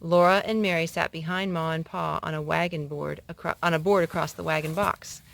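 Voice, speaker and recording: A woman's voice: low voice, fairly even intonation, brisk, gravelly. A woman reading stories aloud for children, now and then correcting a slip as she goes. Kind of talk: read-aloud children's storytelling.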